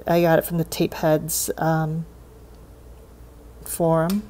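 A woman's voice: low-pitched, flat delivery, slow pace, dark tone, a little hiss or hum. A woman giving a casual, unscripted hands-on demonstration, thinking aloud with a hesitant delivery. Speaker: hobbyist demonstrating cassette tape repair. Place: indoors at a desk.

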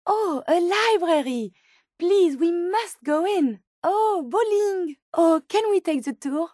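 A woman voicing a gentle, curious French robot scientist in a video game, speaking politely and formally with a French accent. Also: extremely high pitch, animated, slow pace, dry recording, studio quality, heavily acted. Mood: delighted, curious. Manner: polite, formal, precise phrasing. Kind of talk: video game character dialogue.

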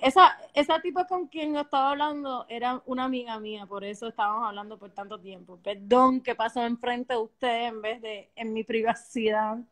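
A woman in her twenties hosting a casual livestream from her bedroom at night, speaking into a phone held close, bubbly and affectionate with frequent giggles. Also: on the high side. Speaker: livestream host.

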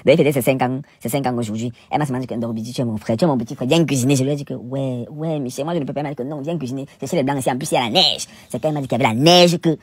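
A man's voice: medium pitch, very fast, hardly any pauses, husky, no room sound, studio quality. A man with a Cameroonian accent telling stories in a casual, chatty vlog voice-over, theatrical and exclamatory, with playful indignation. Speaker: cooking vlogger and narrator.

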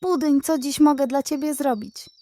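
Woman voicing a high, naive young piglet. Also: steady tone, normal speed, warm tone, no echo, lightly acted. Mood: eager. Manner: eager, admiring. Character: young, trusting, naive, eager female piglet. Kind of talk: children's storybook character voice.

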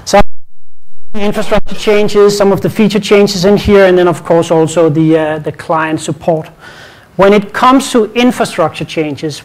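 A man with a Danish accent presents a technical session from a conference stage. His voice sits fairly high for a man. He talks casually, often repeats words and slips in light jokes. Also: darkish tone, poor audio. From Denmark.

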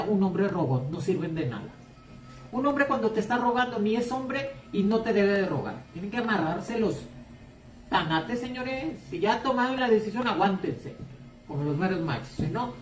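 A woman's voice: mid-range voice, darkish tone. Blunt Mexican woman psychic, echoey room. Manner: blunt, outspoken, scolding advice. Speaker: psychic and tarot reader. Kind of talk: casual live chat. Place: home room, indoors. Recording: echoey room, far from mic.

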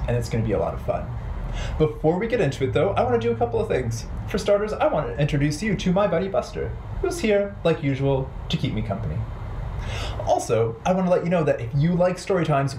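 Animated man hosting children's storytime, roomy sound. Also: medium pitch, slight background noise. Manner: playful, talking directly to young children. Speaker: children's storytime host at a library. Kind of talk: children's storytime with songs and rhymes. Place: small bright living room, indoors. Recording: roomy, echoing indoor sound. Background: ukulele music at times.

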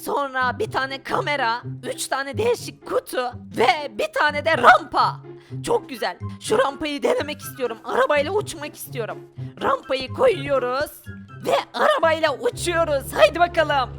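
A woman with a very high, lively voice that swings widely in pitch. She commentates on a video game with excitement, exclaiming often and thinking out loud to her audience. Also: normal speed, faint music behind. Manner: animated, exclaiming, thinks aloud. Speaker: gaming video creator. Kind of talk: excited gameplay commentary for viewers.

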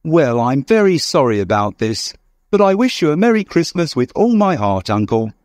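A man plays a happy, warm-hearted young nephew from a storybook. He sounds eager and earnest, with a raised pitch that leaps about very expressively. The recording is dry and close. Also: sing-song, moderate pace, no echo. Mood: warm, cheerful. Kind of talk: audiobook character reading.

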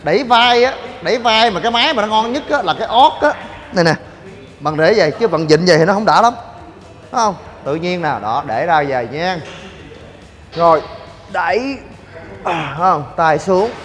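High-voiced joking man in a gym. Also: animated. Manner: joking, rapid banter with loud coaching shouts. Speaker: fitness vlogger. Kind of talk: energetic gym demo vlog. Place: large indoor gym. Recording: long gym reverb with music.

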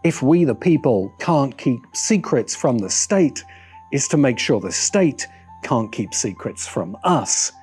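A middle-aged man narrates a video essay with a deliberate, wry delivery. His pitch sits in the middle, and light music plays behind him. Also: big pitch swings, a little mellow, husky. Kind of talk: scripted video essay commentary. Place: open-sided outdoor pavilion by a garden. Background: background music.

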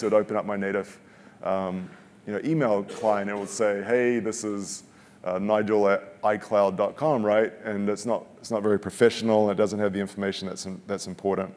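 A man's voice: lowish voice, a little mellow. A man in his forties giving a casual, joking live product demo on a conference stage, often stopping and restarting his sentences. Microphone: headset mic. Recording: long reverb of a big hall.

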